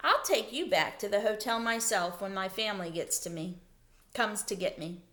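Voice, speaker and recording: A woman's voice: medium pitch, moderate pace, a bit bright, close to an everyday voice. Woman reading as a shrewd young camper boy. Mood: proposing. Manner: questioning, deal-making. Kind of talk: storybook read-aloud.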